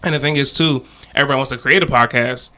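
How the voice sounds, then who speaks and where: A man's voice: mid-range voice, fast. A man from the Washington, D.C. area in his thirties, chatting casually as a podcast guest with lots of fillers and restarts. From Washington, D.C. area, United States. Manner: relaxed, many fillers and restarts, slangy. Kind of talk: casual interview chat.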